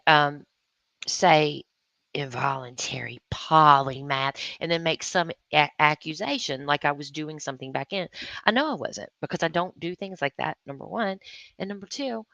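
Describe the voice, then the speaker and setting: A woman's voice: sits low, moderate pace, vocal fry, a bit bright, no room sound, lo-fi sound. A woman talking on a casual livestream, defensive and puzzled, often restarting her sentences.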